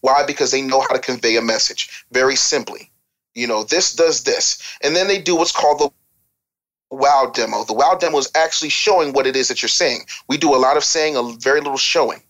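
A man's voice: mid-range voice, a little fast, fairly bright tone, low-quality recording. An American man giving opinionated, unscripted advice as a podcast guest, blunt, profane and scolding.

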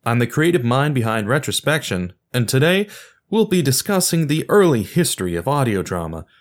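A man's voice: medium pitch, very animated, brisk. A man hosting a podcast, reading scripted narration in an explanatory, presentational way. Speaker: podcast host.

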